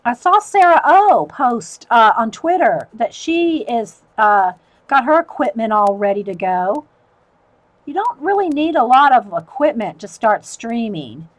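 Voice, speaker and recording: Middle-aged woman, relaxed live-stream chatter, higher voice, quick laughs. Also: rough recording. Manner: chatty, talks to viewers, laughs often. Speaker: artist livestreamer. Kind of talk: casual chat while drawing.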